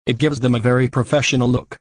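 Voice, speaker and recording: A man's voice: medium pitch, fairly even intonation, quick pace, breathy edge, dry recording, clean studio sound. A man working as an announcer, reading scripted links and ads in old-time radio style. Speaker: show announcer.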